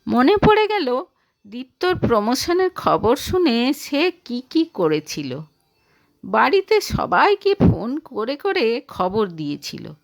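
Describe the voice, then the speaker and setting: Slow, high-voiced woman narrating, very lively pitch. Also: gravelly. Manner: expressive, emotive storytelling. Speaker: audio story narrator. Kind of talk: scripted dramatic story narration.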